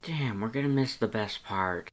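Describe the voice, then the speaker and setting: A man's voice: on the low side, moderate pace, natural voice. A man voicing a laid-back, teasing male skeleton with a wry, casual drawl in a story reading. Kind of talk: storybook character dialogue.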